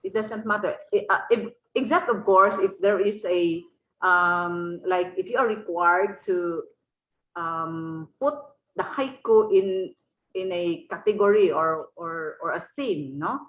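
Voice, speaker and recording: A woman with a Filipino accent explaining to an online class as a teacher, pausing often to search for words. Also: on the low side, a bit bright. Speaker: resource speaker, teacher. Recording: roomy, echoing room sound.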